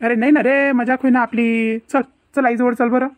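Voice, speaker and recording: A woman voicing an excitable village youth in a cartoon comedy, full of excuses and bluster, with a Varhadi Marathi accent. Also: a little high, normal speed, dry recording, lightly acted. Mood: placating. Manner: evasive, blustering.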